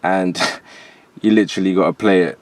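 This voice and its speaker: A man's voice: low-pitched, steady tone, moderate pace, background noise. A man from Jamaica with a transatlantic accent, talking casually and in slang as a rapper guest in an interview. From Jamaica, later moved to England. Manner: conversational, slangy.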